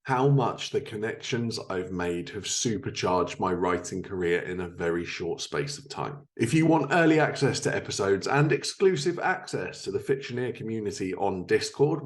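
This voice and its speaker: A man hosting a podcast, delivering scripted introductions and interview questions. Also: on the low side. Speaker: podcast host.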